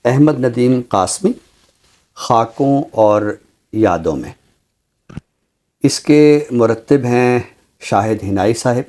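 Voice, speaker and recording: A man with a Pakistani accent presenting a literary book review in a measured, scholarly, deliberate way. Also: medium pitch, a little mellow. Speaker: literary guest presenter introducing books.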